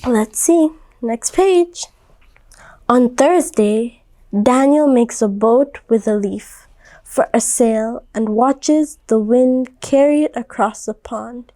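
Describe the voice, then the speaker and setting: A woman reads a storybook aloud for small children in a fairly high voice. She goes very slowly, explains things in simple phrases and often asks the listener questions. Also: dark tone. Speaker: volunteer children's book reader.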